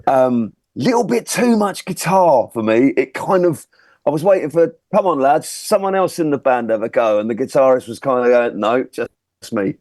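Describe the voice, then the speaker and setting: A man's voice: mid-range voice, moderate pace, a little mellow, no echo, studio quality. A man on a review panel giving a casual, conversational critique.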